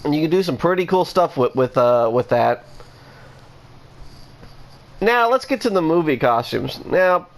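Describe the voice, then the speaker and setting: A man with a somewhat high voice talks casually for his own channel. He rambles and restarts his sentences, leaning on fillers as he thinks out loud. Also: lively intonation, measured pace, long pauses. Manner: rambling, many restarts and "you know" fillers. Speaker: gaming vlogger. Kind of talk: casual rambling opinion talk.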